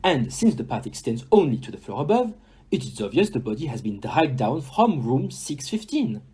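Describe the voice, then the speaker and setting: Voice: medium pitch, very animated, normal speed, a little mellow, slightly rough. Someone acting fussy detective, polite n sure of themself, super swoopy theatrical delivery, audio play. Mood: explaining, dramatic. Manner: theatrical, courteous, sprinkles in French words.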